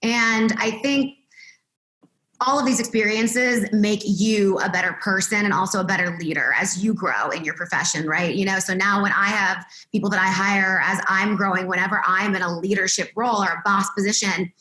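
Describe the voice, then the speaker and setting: A woman guest in casual podcast chat, with a loud, projecting voice and a candid, upbeat manner. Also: medium pitch, steady tone, normal speed, roomy sound, poor audio. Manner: candid, upbeat, laughs often.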